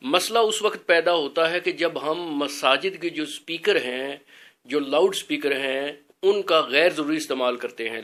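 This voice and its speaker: Man, fairly high pitch, religious educator, earnest and measured, informal explanatory talk. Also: a bit bright.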